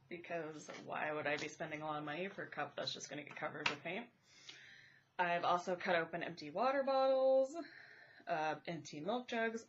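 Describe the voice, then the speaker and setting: A woman who is congested and a little raspy, chatting casually about art supplies while she draws at home. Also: on the low side, fairly bright tone, dull audio, a little hiss or hum. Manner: chatty, thinking aloud while drawing. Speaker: art vlogger. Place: home, indoors at a table. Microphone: camera overhead pointed at the paper. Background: furnace and cat noises.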